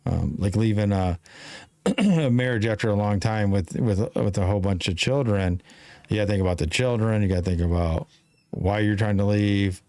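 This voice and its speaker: A man in his fifties hosting a live talk show, talking in a rambling, halting way with many restarts. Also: on the low side, darkish tone, no room sound. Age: 50s. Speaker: show host. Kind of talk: casual live-stream conversation.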